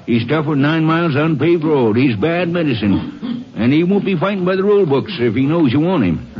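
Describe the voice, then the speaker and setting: An actor playing a folksy, opinionated old American boxing fan who rambles and hems and haws, in old-time radio style. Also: male voice, fairly high pitch, big pitch swings. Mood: urgent warning. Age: older. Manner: folksy, rambling, hesitant drawn-out speech. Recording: muffled 1950s radio recording, crowd noise behind. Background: crowd noise.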